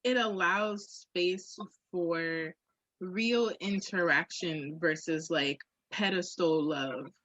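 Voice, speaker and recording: A woman's voice: fairly low pitch, takes it slowly, a bit bright, dry recording. A woman in candid, casual podcast conversation, frank and reflective, swearing freely. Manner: frank, uses profanity, reflective. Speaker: podcast co-host. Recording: dull, muffled lo-fi audio.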